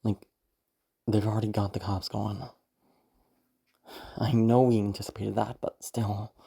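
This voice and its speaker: A woman voicing a gruff, gravelly kidnapper henchman in an audio roleplay. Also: extremely low pitch, level tone, unhurried, mellow, exaggerated voice. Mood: grudging.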